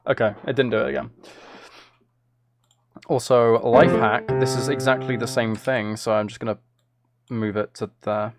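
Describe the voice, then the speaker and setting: A man's voice: mid-range voice, fairly even intonation, normal speed, vocal fry, pauses often, loud music behind the voice. A man chatting casually on a live stream, playful and self-deprecating, thinking aloud as he works. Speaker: live streamer and music maker.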